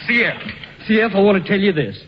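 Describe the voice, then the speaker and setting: High-pitched, petulant vain movie star. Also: male voice, expressive, fast. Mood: indignant. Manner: indignant, complaining. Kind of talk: 1940s radio comedy acting. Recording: dull, noisy old radio recording.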